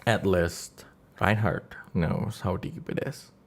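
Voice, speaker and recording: A man acts as game master on a storytelling stream, improvising as he goes. His pace is very unhurried and halting, and he often repeats a word or phrase before moving on. He shifts between narrating the scene and chatting with his viewers. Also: deep voice, lively intonation.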